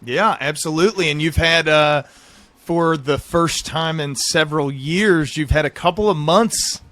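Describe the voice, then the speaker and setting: A man in his thirties hosts a casual podcast conversation. His voice is clearly bright and forward, sitting a little higher than average. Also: a little slow. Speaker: podcast host.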